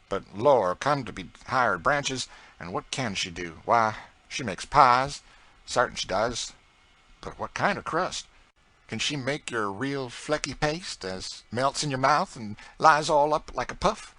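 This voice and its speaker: A boastful, chattering woman cook with thick old rural dialect speech, as a male reader puts her on. She swoops through a lively, raised pitch and talks loud and bright, scolding and bragging in turn. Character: proud talkative woman cook, middle-aged. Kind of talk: audiobook character reading.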